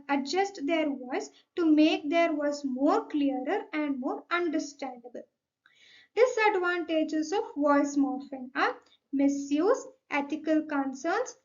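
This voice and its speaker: Young indian accent woman doing a methodical explainer presentation, pretty high voice, kinda muffled lofi mic. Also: darkish tone. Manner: methodical, signposting each point. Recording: dull, lo-fi microphone sound.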